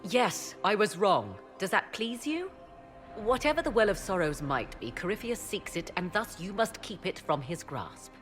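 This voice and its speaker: A woman's voice: mid-range voice, very animated, moderate pace, a bit bright, soft background music. A woman playing an aloof, scholarly sorceress in a video game, speaking in a formal, theatrical and sardonic manner. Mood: proud, urgent. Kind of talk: dramatic video game acting.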